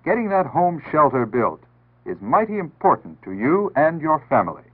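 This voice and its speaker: American male announcer, 1960s civil defense film style. Measured, instructive, animated intonation, narrow phone-like vintage sound. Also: fairly high pitch, slightly rough. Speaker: public service announcer. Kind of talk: 1960s civil defense PSA scripted narration. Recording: tinny band-limited 1960s broadcast audio.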